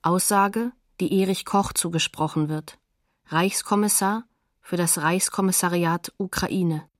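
An actress as a neutral announcer, naming witnesses and sources in a scripted radio documentary. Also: female voice, fairly low pitch, steady tone, measured pace, no room sound, clean studio sound, lightly acted. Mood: neutral, factual.

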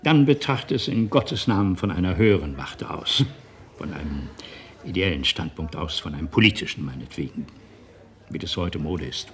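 A man's voice: deep voice, lively intonation, moderate pace. Domineering middle-aged father, wildly swinging intonation. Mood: persuasive, exasperated. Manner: rhetorical, patronizing, swinging from reasoned to indignant. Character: domineering company boss father, self-righteous, manipulative, pleading. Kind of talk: German radio drama acting.

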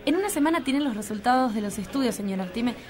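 A woman's voice: fairly high pitch, expressive, quick pace, darkish tone, natural voice. An actress playing a polite, businesslike female receptionist in formal radio drama dialogue.

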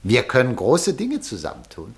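An actor playing a pushy, sensation-hungry newspaper director in a German radio drama, insistent, oratorical and domineering. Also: male voice, mid-range voice, huge pitch swings, normal speed, vocal fry, a little hiss or hum. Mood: urgent, insistent.

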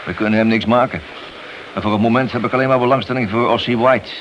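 An actor playing a hard-nosed, cynical police detective in a Dutch radio play, blunt, needling and sardonic in manner. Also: male voice, mid-range voice, fairly even intonation, fast, highs cut off, close to an everyday voice. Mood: menacing, sardonic. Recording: noisy, hissy old radio drama recording.

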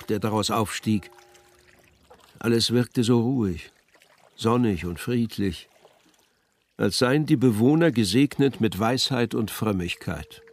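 An actor playing a solemn, measured narrator with an elegiac manner in a literary radio drama. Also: male voice, medium pitch.

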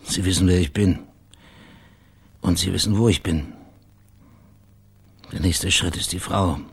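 An actor playing a tough, cynical private investigator with a dry, clipped delivery in a German radio crime drama. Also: male voice, low-pitched, steady tone, unhurried, creaky, breathy edge, heavily acted. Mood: grim, warning.

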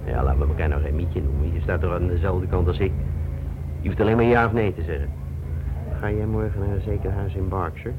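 An actor playing a brash, jovial American crook in a radio drama, breezy, familiar and talkative. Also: male voice, deep voice, a little fast, natural voice. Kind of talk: Dutch radio drama acting. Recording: muffled, noisy old radio play tape.

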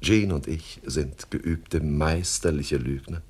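An actor playing a suave, wordy swindler boss with mock-courteous manners in a radio crime comedy. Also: male voice, rumbling low, slow, a little mellow, slightly rough, exaggerated voice. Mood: breezily confident. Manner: verbose, mock-courteous, hesitant filler words. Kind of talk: 1970s German radio crime comedy acting.

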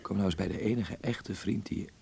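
An actor playing a gentle, teasing young man devoted to his work in a Dutch radio play, speaking tenderly and a little haltingly. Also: gravelly, husky, exaggerated voice. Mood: soothing. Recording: noisy radio play recording with low rumble.

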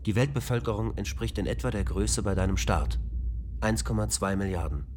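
A man voicing a calm male ship computer in a radio play, reporting in a flat, precise, machine-like way. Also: on the low side, brisk, slight background noise, natural voice.

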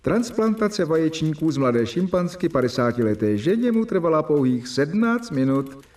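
A man's voice: on the high side, sing-song, a little mellow. An actor playing an earnest, exasperated young doctor who narrates his own diary in a radio play. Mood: reflective. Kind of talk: radio drama with first-person narration.

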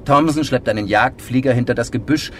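An actor playing a brooding, self-doubting male novelist in a German radio drama, speaking in a measured, literary way. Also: medium pitch, a bit bright, a little hiss or hum, lightly acted. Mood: wistful.

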